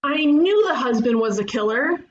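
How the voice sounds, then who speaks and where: A woman voicing a cocky, excitable young amateur detective in a cartoon comedy, with an emphatic know-it-all manner. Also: high voice, moderate pace. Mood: confident. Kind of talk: animated comedy table read. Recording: muffled, clearly noisy home recording.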